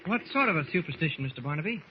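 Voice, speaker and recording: Actor as a brisk, high-pitched man, muffled recording. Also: lively intonation, lightly acted. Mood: inquisitive. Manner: brisk, probing, plain-spoken. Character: alert, inquisitive adult gentleman adventurer. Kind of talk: 1940s radio acting. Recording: muffled noisy old radio recording.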